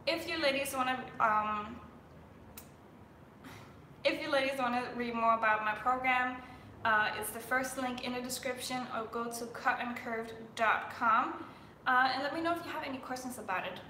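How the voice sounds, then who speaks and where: A woman's voice: on the high side, moderate pace, sharp tone, echoey room, a little hiss or hum, rough recording. A young woman from Denmark, a fitness coach chatting on a live stream, full of fillers and restarts.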